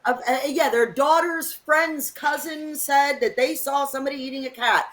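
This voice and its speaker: A woman with a fairly high voice co-hosts a political discussion show. She talks off the cuff, emphatic and opinionated, pausing to gather her thoughts. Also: fairly bright tone.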